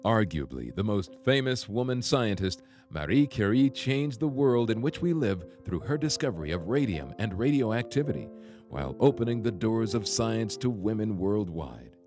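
Male documentary narrator, measured and low, with clear music underneath. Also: expressive, a little mellow. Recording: voice-over with clear music bed.

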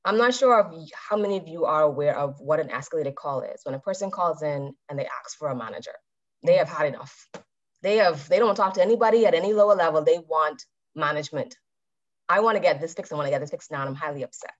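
A woman in her thirties giving a calm, measured talk to a club over a video call and putting questions to her listeners. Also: low voice. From Bahamas. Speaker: club speaker. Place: indoors with a virtual background. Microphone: webcam on a video call. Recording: dull video-call audio.

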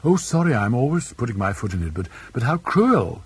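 A man's voice: mid-range voice, very animated, brisk, a little hiss or hum, close to an everyday voice. A man voicing an outspoken, tender-hearted young girl in an audiobook, blurting and artless, with Received Pronunciation. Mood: indignant. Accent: English Received Pronunciation.

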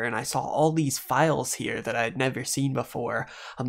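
A young man talking casually as he hosts a gaming tutorial video, recorded at a desk microphone. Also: mid-range voice. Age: young adult. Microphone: desktop condenser microphone.

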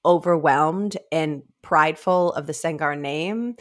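A woman in her thirties talks through a story in a casual, conversational way. Her voice sits a little low. She speaks into a close microphone as a co-host and sometimes acts out what characters say. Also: measured pace. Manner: informal, acting out characters' lines. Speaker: podcast co-host. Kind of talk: casual discussion of a novel. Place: small indoor room, remote video call. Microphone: close studio microphone.

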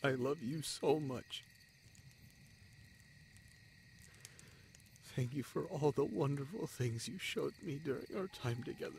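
A man playing an anguished, self-sacrificing young spider-folk lover in a romantic audio drama, halting and pleading in manner. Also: medium pitch, gravelly, slight background noise, close to an everyday voice. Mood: tender, heartbroken.

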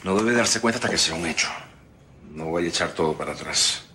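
A man's voice: sits low, a little fast, sharp tone. A man playing a determined, combative rancher in a telenovela. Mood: resolute. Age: 30s. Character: determined, combative young rancher plotting revenge. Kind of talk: telenovela acting. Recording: clear noise with clicks.

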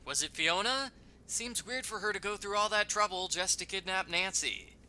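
A man's voice: high voice, moderate pace, bright, forceful tone, slight background noise. A man delivering scripted, sarcastic, mock-indignant narration that nitpicks a video game. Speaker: video critic.

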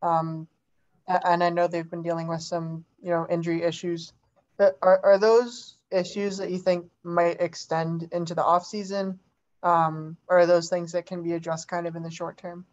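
Low-voiced woman reporter on muffled line. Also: level tone, moderate pace, a little mellow. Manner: hesitant, many fillers. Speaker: sports reporter. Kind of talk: press conference questions.

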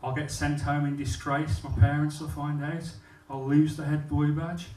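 A man's voice: a little high, little pitch movement, normal speed, a little mellow, distant mic, slight background noise. Sweary man doing stand-up. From England. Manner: blunt, sweary, self-mocking storytelling. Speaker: stand-up comedian. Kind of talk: stand-up storytelling. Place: comedy club stage, small venue with live audience. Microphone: handheld mic on stand. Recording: echoey room, mic off-axis, audience laughter.

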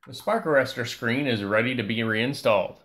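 A man giving a calm, scripted voice-over that walks through a repair step by step. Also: mid-range voice, brisk. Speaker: repair channel host.

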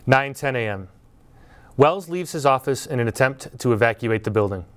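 A middle-aged man giving a prepared graduation speech from a podium, telling a story in a measured, earnest way. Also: mid-range voice, animated, a bit bright. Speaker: school speaker at graduation. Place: indoor studio before green backdrop.

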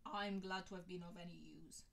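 A woman's voice: on the low side, steady tone, normal speed, gravelly, a bit bright, slight background noise. A woman voicing a reserved, polite young wizard man who mutters his words, in an audiobook reading. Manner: muttered, reticent.

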